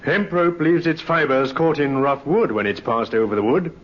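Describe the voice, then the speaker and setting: A man's voice: a little high, moderate pace, lightly acted. An actor playing a methodical police inspector in an old radio drama, businesslike and pointed in his questioning. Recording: muffled, noisy vintage radio recording.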